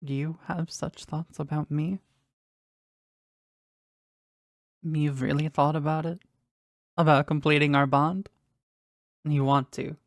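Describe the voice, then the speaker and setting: An actor playing a powerful, devoted demon bound to a sorcerer in an intimate romantic audio roleplay, speaking formally and tenderly in a breathy voice that often drops toward a whisper. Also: medium pitch, little pitch movement, no room sound, studio quality, lightly acted. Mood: hesitant, astonished, eager. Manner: formal, slow, tender, halting with emotion.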